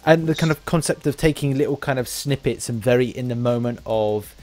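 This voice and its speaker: A man hosting a podcast interview in a casual, somewhat halting conversational way. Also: mid-range voice, darkish tone.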